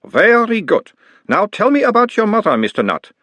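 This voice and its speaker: A man's voice: high-pitched, huge pitch swings, normal speed, a bit bright, dry recording, voice pushed up, heavily acted. A man voicing an orc who plays his own analyst, asking mild, coaxing questions in an Uberwaldian accent.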